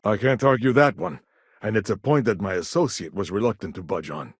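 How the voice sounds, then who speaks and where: A man acting a shrewd, self-assured businessman in a video game, with a wry, smooth-talking manner. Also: on the low side, a little fast, no room sound, clean studio sound. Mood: wry, teasing.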